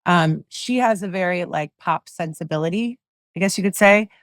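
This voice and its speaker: A middle-aged woman with a low voice, a singer-songwriter guest chatting loosely in an interview, full of fillers and restarts. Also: moderate pace, dry recording, studio quality. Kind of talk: casual interview chat.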